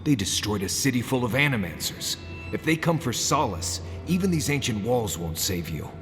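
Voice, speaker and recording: A man's voice: medium pitch, sing-song, normal speed, soft background music, close to an everyday voice. An actor playing a jaded but earnest man in a fantasy game, with a dry, urgent and sardonic way of talking. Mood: insistent. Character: jaded, earnest man returning to warn his homeland. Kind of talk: dramatic fantasy game acting.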